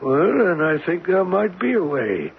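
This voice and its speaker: An actor playing a scholarly older professor in a 1970s radio drama, speaking with a hesitant, deliberate manner. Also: male voice, sits high, very animated, creaky, full character voice. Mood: absorbed, explaining. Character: scholarly older male professor, absent-minded yet eager. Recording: noisy, tinny old radio broadcast.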